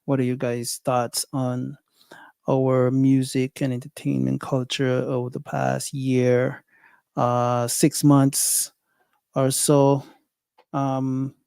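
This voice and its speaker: A man's voice: mid-range voice, monotone, takes it slowly, creaky, long pauses, a little mellow, clean tone, no room sound. A man with a Guyanese accent hosting a casual livestream, thinking aloud with many fillers and restarts. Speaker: livestream host.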